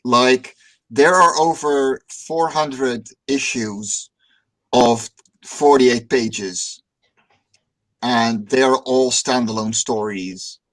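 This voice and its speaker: A man's voice: medium pitch, very slow, a bit bright, rough recording. A Dutch man hosting a casual livestream chat, upbeat and joking, often repeating words as he starts a sentence. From Netherlands. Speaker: stream host.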